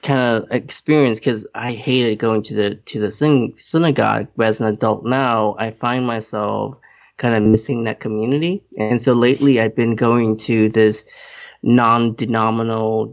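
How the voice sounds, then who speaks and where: A man's voice: fairly low pitch, little pitch movement, moderate pace, gravelly. Male guest, loose casual talk, fillers, joking, dull lo-fi sound. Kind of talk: casual conversation.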